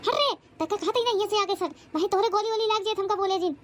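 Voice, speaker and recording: A woman voicing a sharp-tongued, angry old village wife in a comedy cartoon, scolding and taunting with an Awadhi accent. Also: extremely high pitch, fast, heavy background noise. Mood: scornful. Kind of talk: rustic comedy cartoon acting.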